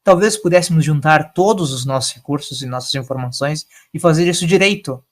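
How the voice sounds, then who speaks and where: A man's voice: fairly high pitch, a little fast, natural voice. A man voicing an impassioned, idealistic aristocratic time traveler making emphatic speeches in an audio story. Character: impassioned aristocratic male Doctor, idealistic and impatient.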